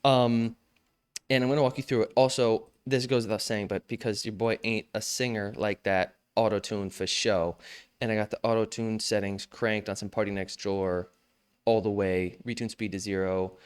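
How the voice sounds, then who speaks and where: Young male music tutor, clear resonant voice, laid-back slangy delivery. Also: lowish voice, moderate pace. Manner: relaxed, slangy, self-joking. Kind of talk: casual screen-recorded mixing tutorial.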